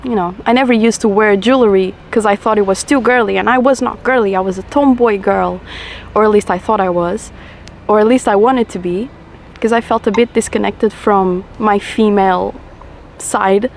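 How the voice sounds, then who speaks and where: Low-voiced young woman, reflective chat. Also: normal speed, vocal fry, slight background noise. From Portugal. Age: 20s. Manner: reflective, rambling, confessional; smoking while talking. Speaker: vlogger. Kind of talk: casual chat to camera.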